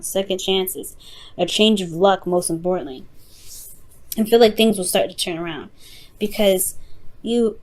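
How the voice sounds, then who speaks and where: A woman reading tarot unscripted, talking straight to the listener in a halting, reassuring way, often repeating and rephrasing herself. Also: mid-range voice. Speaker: tarot reader, host.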